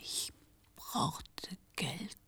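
An actress playing a dying, remorseful fashion model in a radio drama, confessing haltingly in a weak, breathy, tearful whisper. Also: female voice, pushed and bright. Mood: remorseful, desperate. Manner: halting, faltering. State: dying, close to tears.

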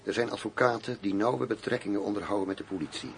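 An actor in a radio drama playing a wry, world-weary undercover agent who poses as a gangster boss. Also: male voice, lowish voice, quick pace, slight background noise, lightly acted. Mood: measured, concerned.

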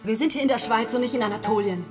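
A woman's voice: on the high side, fast talker, distant mic, over music, close to an everyday voice. An actress in a radio play playing a defiant young daughter standing up to her family. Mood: defiant, pleading. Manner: defiant, protesting.

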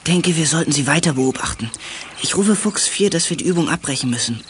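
An actor playing an eager, imaginative teenage kid detective in a children's radio play, quick to question and suggest. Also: medium pitch, expressive, a bit bright, a little hiss or hum, lightly acted. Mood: watchful.

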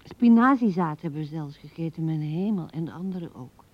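An actress playing an old widow who muses tenderly and haltingly to her late husband in a radio drama, often dropping to a hush. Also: low voice, animated, no room sound. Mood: reminiscing. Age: older. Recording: dull 1970s radio recording.

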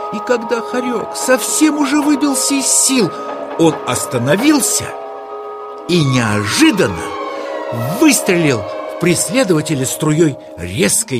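Male storyteller for children's tales, theatrical, extremely swooping pitch, unhurried, music clearly behind. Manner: dramatic, theatrical delivery. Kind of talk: expressive children's story narration.

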